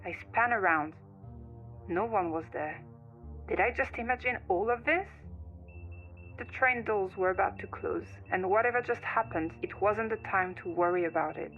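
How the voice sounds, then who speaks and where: A woman's voice: medium pitch, moderate pace, highs cut off. A woman reads a scripted first-person horror story as its narrator, like someone recounting a chilling tale. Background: music.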